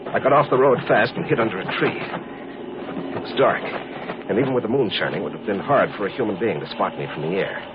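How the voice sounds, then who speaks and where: A man's voice: lowish voice, a little fast, close to an everyday voice. An actor playing a defiant man on the run, telling his own escape in a tough, plain-spoken 1950s radio drama style. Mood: tense recounting. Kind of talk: 1950s radio drama first-person narration. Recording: noisy, muffled old radio recording.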